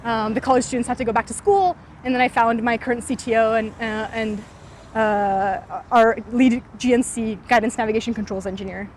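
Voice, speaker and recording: A young woman from California answers interview questions while walking outdoors. Her voice sits high, and her speech is loose and relaxed, full of "like" and "um." Faint city noise hums under her. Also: fairly quick. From California, USA. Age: 20s. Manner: frequent "like" and "um" fillers, thoughtful. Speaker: startup founder interviewee.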